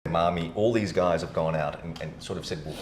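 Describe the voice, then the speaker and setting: A man's voice: deep voice, fairly quick. A man hosting a casual podcast interview in an informal, matey and opinionated way. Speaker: podcast host. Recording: echoey room with faint noise.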